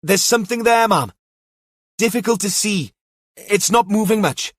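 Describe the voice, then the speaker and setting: A man's voice: high-pitched, normal speed, a bit bright, dry recording, studio quality, pitched above the performer's own voice. A man voicing a plucky, respectful male junior police officer in an audiobook. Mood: tense, reporting. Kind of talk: audiobook character dialogue.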